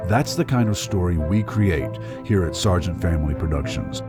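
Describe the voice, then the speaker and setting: A man's voice: low-pitched, lively intonation, moderate pace. A man reading a scripted promotional narration as an advertisement announcer. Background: music.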